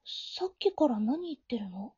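A woman playing a gentle, naive young woman, a doting childhood friend, in an amateur romantic audio drama, with a soft, sweet manner. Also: on the high side, big pitch swings, moderate pace, slightly rough, no echo, full character voice. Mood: confused. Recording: dull, lo-fi home recording.

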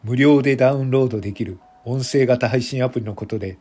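A man reading scripted narration for an audio drama in a measured, deliberate manner, phrase by phrase. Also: medium pitch, warm tone, breathy edge, faint music behind.

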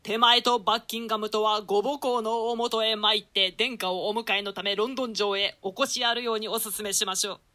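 A woman's voice: fairly high pitch, fairly even intonation, brisk, few pauses, pushed and bright. A woman performing a sly, ruthless duke who plots for power, in a theatrical Shakespeare reading. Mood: smooth, falsely kind. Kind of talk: theatrical Shakespeare reading in archaic Japanese translation.

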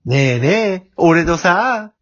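A man's voice: high-pitched, expressive, takes it slowly, fairly bright tone, muffled recording, heavily acted. A man playing a cocky, scheming wolf who flusters easily, in an amateur radio drama with theatrical delivery. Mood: coaxing, flustered. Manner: theatrical, menacing chuckles and smooth-talking.

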